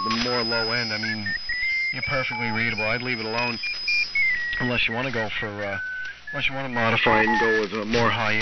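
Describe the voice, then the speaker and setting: An American man, an amateur radio operator, chatting casually over an AM shortwave link, halting and often repeating himself. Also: mid-range voice, recorded from a distance. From United States. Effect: AM shortwave radio transmission. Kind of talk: casual on-air radio chat. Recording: muffled AM radio, loud static and whistles. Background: loud radio static and tones.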